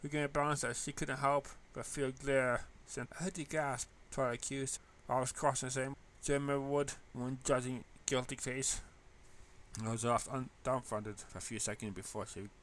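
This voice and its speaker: A man's voice: medium pitch, steady tone, moderate pace, fairly bright tone. A man reading a story aloud as narrator, in an amateur storytelling style.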